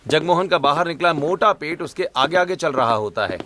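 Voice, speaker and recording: A man's voice: a little high, animated, moderate pace, sharp tone, a little hiss or hum. A man reading scripted narration for a cartoon family story.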